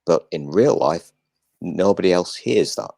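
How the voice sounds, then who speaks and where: An older man hosting a casual live chat, talking in a flat, self-deprecating way and swearing now and then. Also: deep voice, unhurried, vocal fry, slightly rough, no room sound, clean studio sound.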